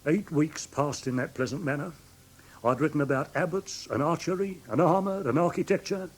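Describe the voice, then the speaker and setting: An actor playing a fussy, aggrieved, simple-minded London shopkeeper with an English accent in a radio drama, halting and deferential as he talks. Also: on the high side, slight background noise, lightly acted. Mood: plaintive. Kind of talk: classic British radio drama acting.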